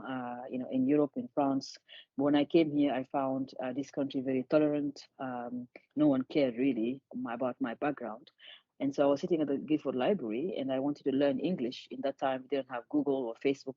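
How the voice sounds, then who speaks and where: A woman's voice: rumbling low, mellow, no echo, muffled recording. A woman, a charity founder speaking earnestly in an interview and often restarting her words. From Senegal. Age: 50s. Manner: earnest, repeats words as she restarts, laughs lightly. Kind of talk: conversational interview.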